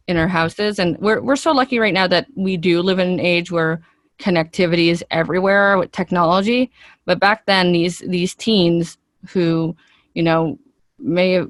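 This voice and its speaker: A woman's voice: fairly low pitch, dry recording, clean studio sound. A woman speaking as an interview guest, an actress giving earnest, talkative answers in a conversational way and thinking aloud.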